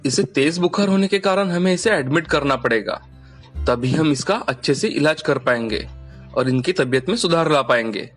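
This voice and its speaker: Calm, formal male doctor, acted by a man. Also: a little high, normal speed, faint music behind.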